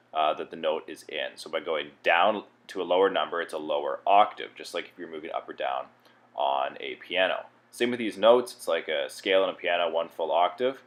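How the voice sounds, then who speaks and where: A man with a low voice walks through software steps as a tutorial presenter. His delivery is plain-spoken and instructional, explaining each step as he goes.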